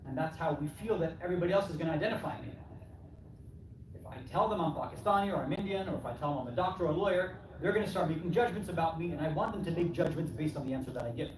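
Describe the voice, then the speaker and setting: A man's voice: fairly high pitch, rapid-fire. A man giving a conversational sermon, asking rhetorical questions, often adding "right?" and voicing imagined replies. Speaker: preacher addressing a congregation. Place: Islamic center hall. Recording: echoey hall, distant mic, clearly noisy.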